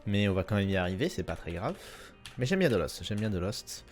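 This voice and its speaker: Gamer guy, lowish voice, easygoing play-along commentary at his desk mic. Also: a little fast. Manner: relaxed, rambling, many fillers and repeats. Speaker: gaming YouTuber. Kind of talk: casual gameplay commentary. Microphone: desk mic at a gaming setup.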